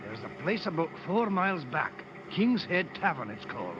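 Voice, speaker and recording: A man's voice: fairly high pitch, sing-song, normal speed. An actor playing a dogged henchman, defensive but obedient, in 1930s radio drama style. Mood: obliging, grim. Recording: noisy muffled 1930s radio broadcast.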